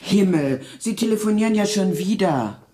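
A woman's voice: mid-range voice, expressive, normal speed, a crowd in the background, close to an everyday voice. An actress playing a chatty, flirtatious, easily startled woman in a radio drama, playful and exclamatory. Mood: playful, flustered.

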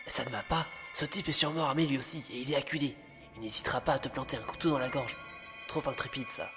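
Un acteur dans un flic de fiction audio, crie puis chuchote, musique derrière. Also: male voice, mid-range voice, fast talker, natural voice. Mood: hushed. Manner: shouts in action, hushed when sneaking. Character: brash inventive male police investigator. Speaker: police investigator. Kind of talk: French audio drama. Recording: long reverb, music behind.

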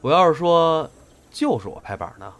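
A man's voice: on the high side, very animated, a little fast. A man playing a good-natured but blunt senior comedy apprentice in an audio drama, bantering. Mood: teasing. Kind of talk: audio drama acting, colloquial dialogue.

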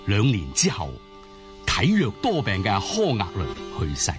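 Very animated Cantonese male storyteller. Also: fairly low pitch, unhurried. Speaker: narrator. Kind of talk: scripted dramatic story narration. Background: music.

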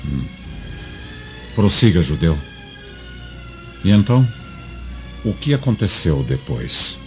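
Imperious, suspicious Roman senator, radio drama; animated, commanding delivery, dull recording with light score. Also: male voice, low voice, slow, heavily acted. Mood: stern, suspicious. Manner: commanding, interrogating. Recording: muffled audio under background music.

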